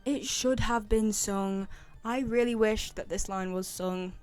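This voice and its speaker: A woman's voice: medium pitch, unhurried, a little mellow, faint music behind. A young woman giving casual, opinionated commentary in a chatty, emphatic way. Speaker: video host, game fan.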